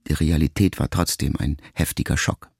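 Male, very low and creaky: a grieving writer son, measured, intimate radio-play monologue. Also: steady tone, no room sound, studio quality, heavily acted. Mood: sorrowful, tender. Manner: measured, reflective, intimate, with deliberate pauses. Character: grieving grown son, a writer, addressing his dying mother.

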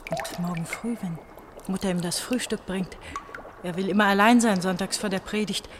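An actress playing an anguished eighteen-year-old pastor's daughter in a German radio drama, brooding and halting. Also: female voice, mid-range voice, noisy background, lightly acted. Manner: brooding, halting monologue with repeated phrases.

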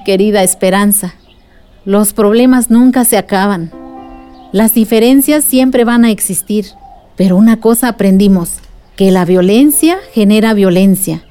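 A woman playing a patient villager telling a story in a radio drama. Her voice is mellow and gentle, with faint music behind. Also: mid-range voice, a little slow, lightly acted. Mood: patient, reassuring. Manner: gentle, explanatory. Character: patient woman villager recounting women's struggle to a child.

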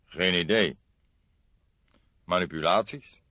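A man voicing a grey-haired veteran detective in an audiobook, thoughtful and measured, with a dryly teasing manner. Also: low-pitched, sharp tone, no echo, lo-fi sound, heavily acted. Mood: pensive. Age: older. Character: grey-haired veteran male detective, deliberate, wryly jovial. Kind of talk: audiobook character acting.

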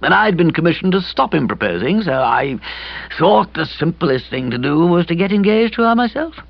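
Man voicing a plaintive young gentleman, pitched up. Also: sing-song, moderate pace, no echo, lo-fi sound, exaggerated voice. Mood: plaintive explaining. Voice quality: husky. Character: dejected young gentleman friend confessing romantic woes.

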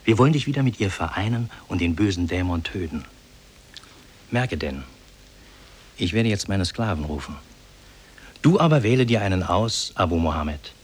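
An actor playing a solemn, benevolent demon in human form, speaking formally and with measured declamation in a 1950s radio drama. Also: male voice, low voice, lively intonation, husky. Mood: grave, instructing.

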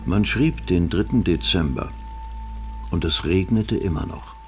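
An actor as the measured, matter-of-fact narrator of a radio murder story. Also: male voice, low voice, lively intonation, faint music behind. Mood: measured, ominous. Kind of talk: scripted radio play narration.

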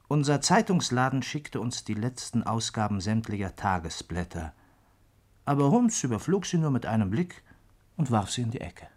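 Gentleman sidekick and storyteller for radio play: medium pitch, rough-edged husky tone, courteous, attentive. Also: sing-song, normal speed. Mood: reflective narration. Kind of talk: German radio play acting, narration and dialogue.

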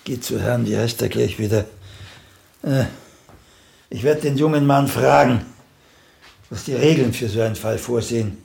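An actor playing a blunt, vain old playwright in a German radio drama, condescending and halting, often dropping into a breathy mutter. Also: male voice, medium pitch, a little mellow. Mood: wounded, blunt. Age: older. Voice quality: gravelly, husky, often breathy. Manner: halting, pointed, drops into muttering.

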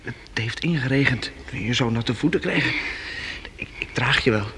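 An actor playing a gentle, dutiful, joking young lad in a Dutch radio play, boyish and slightly hesitant. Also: male voice, medium pitch, expressive, normal speed. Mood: teasing. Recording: reverberant, noisy old radio play recording.

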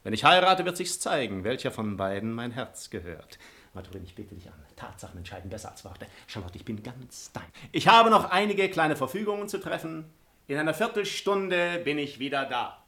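Silver-tongued gentleman seducer, bright voice. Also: mid-range voice, big pitch swings, brisk. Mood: slippery bluffing. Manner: florid, gallant protestations. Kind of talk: classic German radio play acting.

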